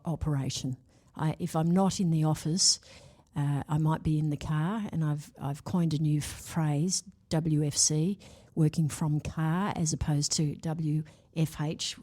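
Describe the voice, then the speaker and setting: A woman, a charity chief, answering podcast questions in a measured, self-assured way with small restarts. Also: low-pitched, mellow. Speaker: CEO of a health charity, guest.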